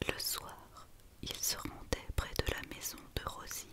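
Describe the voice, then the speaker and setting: A woman reads a children's story entirely in a whisper, in ASMR style. Her delivery is very slow, gentle and soothing, with a breathy, bright edge to every word.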